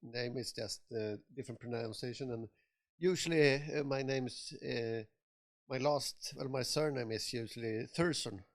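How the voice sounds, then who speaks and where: Swedish male co-host, gravelly voice, hesitant and halting. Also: medium pitch. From Sweden. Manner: hesitant, many fillers and pauses. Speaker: podcast co-host. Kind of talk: casual chat.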